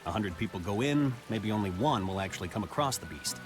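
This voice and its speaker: A man plays a helpful apothecary shopkeeper in a fantasy game. His voice sits fairly low and moves expressively, affable and conversational, over music. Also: animated, fast, natural voice. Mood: grave. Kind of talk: video game voice acting.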